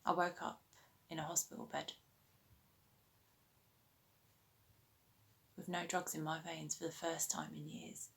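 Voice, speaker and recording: Actress as female recovering addict, haunted and weary; low voice, measured dramatic monologue delivery. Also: steady tone, fairly bright tone. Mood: pained, quiet. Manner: measured, deliberate, pausing delivery.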